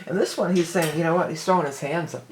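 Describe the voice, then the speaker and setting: A hoarse woman giving a casual, rambling tarot reading, full of fillers and restarts. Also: low-pitched, quick pace, reverberant, a little hiss or hum, low-quality recording. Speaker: tarot reader.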